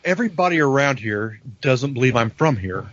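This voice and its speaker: A man's voice: medium pitch, lively intonation, fairly quick, no room sound. Dry, self-deprecating man from Kentucky. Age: 30s. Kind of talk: casual chat. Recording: dry dull lo-fi sound.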